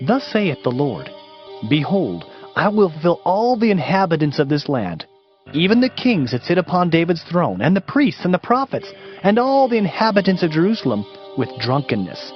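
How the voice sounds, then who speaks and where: An actor playing a grave, lamenting Hebrew prophet in a solemn, declamatory dramatized Bible reading. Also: male voice, on the high side, very animated, normal speed. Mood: stern. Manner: solemn, declamatory, archaic phrasing. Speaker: dramatized scripture reader. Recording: dull audiobook sound with music underneath.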